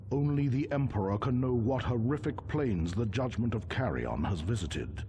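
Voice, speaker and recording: Expressive, portentous space marine advisor. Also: male voice, on the low side, slight background noise. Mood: grave, knowing. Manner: formal, portentous. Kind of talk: video game cutscene acting.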